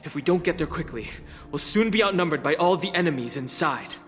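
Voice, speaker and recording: A man playing a calm, thoughtful ally in a video game, speaking in a measured, polite way. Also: on the high side, natural voice. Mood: calm, focused. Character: calm, thoughtful male ally on a prison mission. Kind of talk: English video game voice acting. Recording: long reverb, faint music behind.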